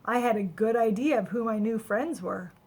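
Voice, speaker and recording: A woman's voice: a little high, moderate pace, husky. A woman voicing a cheerful, naive little male snowman who chats wide-eyed through his adventure in a children's storybook. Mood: delighted, chatty. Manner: wide-eyed, chatty, animated. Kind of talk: children's storybook read-aloud.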